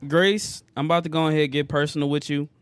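A man with a slightly raised pitch hosts a casual group podcast, talking in laid-back slang and breaking into laughs. His voice is recorded dry, with no room sound around it. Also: fairly even intonation, fast talker, smooth, dry recording. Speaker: podcast host.

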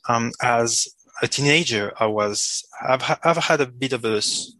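A man's voice: medium pitch, moderate pace, bright, forceful tone. A native French-speaking man from Switzerland with a Swiss French accent and a slight cold, talking hesitantly as an interview guest. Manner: hesitant, self-correcting. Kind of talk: halting conversational interview talk.

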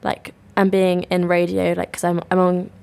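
A young woman from Cornwall co-hosting a podcast in relaxed, chatty style, a bit ill with a cough. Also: on the low side, normal speed, vocal fry. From Cornwall, England. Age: young adult. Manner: relaxed and chatty, agreeing often. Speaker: podcast co-host. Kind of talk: casual chat. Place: student radio studio.

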